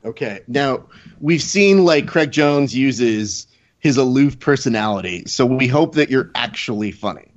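A man's voice: medium pitch, expressive, measured pace. Playful emcee-style male podcast host. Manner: playful, announcer-like emcee delivery. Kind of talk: casual chat, game-show hosting. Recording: slightly dull lo-fi sound.

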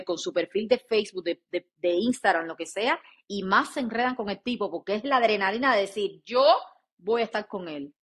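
A woman with a Cuban accent co-hosting a casual chat, talking emphatically and addressing listeners directly. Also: mid-range voice. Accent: Cuban Spanish. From Cuba. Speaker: podcast co-host.

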